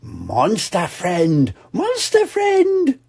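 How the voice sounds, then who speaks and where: A man voicing a tiny toddler boy piglet who shouts single words in a storybook. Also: on the high side, wildly swooping pitch, very slow, slightly rough, pitched above the performer's own voice, exaggerated voice. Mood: gleeful.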